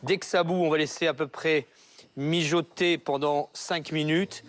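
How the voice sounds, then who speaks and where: Instructive male chef, slightly high voice. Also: fairly even intonation, measured pace. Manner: instructive, checking in with short tags. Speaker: chef demonstrating a recipe. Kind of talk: cooking demonstration. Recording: music behind the voice. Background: background music.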